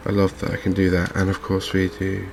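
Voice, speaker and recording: A man hosting a gaming video in a softly spoken ASMR commentary style, unhurried and hesitant, musing to himself. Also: sits low, completely flat delivery, vocal fry, background noise.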